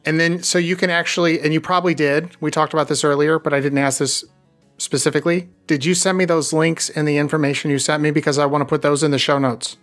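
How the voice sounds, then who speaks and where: A man's voice: a little high, little pitch movement, a bit bright, faint music behind. A man hosting a business podcast, chatting with a guest in an enthusiastic, rambling way. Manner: enthusiastic, rambling, drawn-out phrasing. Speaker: podcast host. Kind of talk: casual interview chat.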